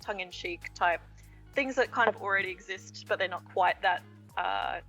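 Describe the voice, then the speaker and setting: A woman's voice: medium pitch, normal speed, creaky, sharp tone, soft background music, low-quality recording. A woman interviewing a guest in a casual, conversational style. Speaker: interviewer on a comics show.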